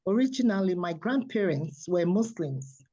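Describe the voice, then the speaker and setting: Soft-spoken woman, mellow and lo-fi. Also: fairly low pitch, lively intonation, normal speed. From Nigeria. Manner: soft-spoken, earnest, laughs often. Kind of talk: interview guest telling her story.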